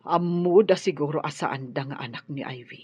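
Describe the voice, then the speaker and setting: A woman's voice: sits low, moderate pace, slightly rough. A woman with an Ilocano accent acting an emotional, determined mother in a radio drama. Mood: resolute. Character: determined mother seeking her lost daughter, emotional.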